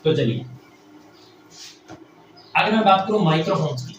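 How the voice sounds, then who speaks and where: A man's voice: sits high, animated, normal speed, lo-fi sound. A man teaches like a classroom lecturer, explaining step by step, repeating phrases and answering his own questions. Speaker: technical teacher. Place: echoey indoor room. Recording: very echoey room, distant mic, faint noise.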